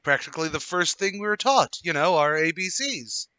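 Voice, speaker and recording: A curious, talkative chap from a radio play , played by a man, friendly and helpful. The voice is very bright and forceful, swinging up and down with lively interest. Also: fairly high pitch, normal speed, no echo. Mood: chatty. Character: chatty, curious, good-natured male passer-by. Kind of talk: radio play table read.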